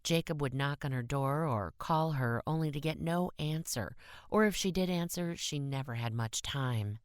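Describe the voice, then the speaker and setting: A woman's voice: deep voice, moderate pace, no room sound, clean studio sound. A woman reading a short story as an audiobook narrator in a scripted style.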